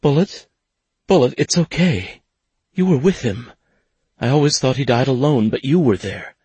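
A man reading an audiobook voices a grieving young woman who pleads softly and gently comforts her partner. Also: mid-range voice, lively intonation, moderate pace, breathy edge, no room sound, voice pushed up. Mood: pleading. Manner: soft, pleading and consoling.

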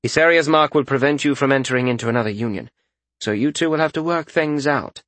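A male narrator voicing Rezkin, a detached young king who speaks in a calm, dry way. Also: mid-range voice, quick pace, dry recording, natural voice. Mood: deadpan.

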